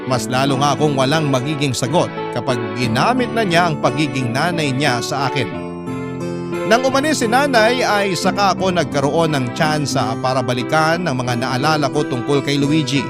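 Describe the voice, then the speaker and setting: A Filipino man tells a dramatic first-person story in a slightly high voice. Loud guitar music plays behind his narration. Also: animated, moderate pace. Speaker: story narrator, reading a letter sender's account. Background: loud plucked guitar music.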